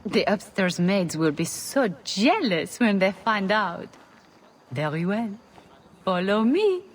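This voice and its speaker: An actress playing a hesitant, gossipy castle servant in a video game, speaking earnestly. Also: female voice, medium pitch, sing-song, slow pace. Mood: hesitant, yielding. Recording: long echo, faint background music.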